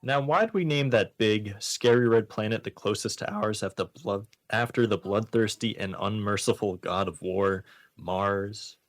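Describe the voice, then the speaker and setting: A man's voice: on the low side, moderate pace, no echo. A man hosting a radio show with casual comedy banter. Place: small radio studio.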